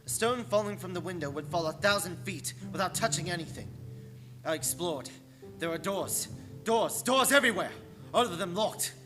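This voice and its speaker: An actor playing an anxious English gentleman held captive, narrating his diary with earnest, suspenseful theatricality in a radio play. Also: high voice, lively intonation, moderate pace. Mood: mounting dread. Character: Jonathan Harker, anxious English gentleman, dread-filled captive diarist. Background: music.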